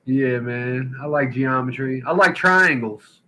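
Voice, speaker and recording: A man's voice: medium pitch, a little slow, pushed and bright, a little hiss or hum. A man hosting a casual podcast, blunt and profane, who likes to tell corny jokes. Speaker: podcast host.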